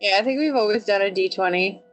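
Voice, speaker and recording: A woman playing a tabletop role-playing game, bantering casually in an animated, sweary, exclaiming way. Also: mid-range voice, fast, faint music behind.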